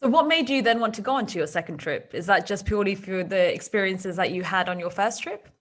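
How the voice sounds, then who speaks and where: A woman's voice: medium pitch, fast talker, fairly bright tone. A woman hosting a podcast interview, asking questions and giving short encouraging reactions. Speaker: podcast host. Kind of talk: casual interview.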